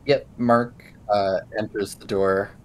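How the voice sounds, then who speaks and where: A man's voice: fairly even intonation, slow pace, low-quality recording. A man narrating and role-playing his character in a tabletop role-playing game. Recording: low rumble and background noise.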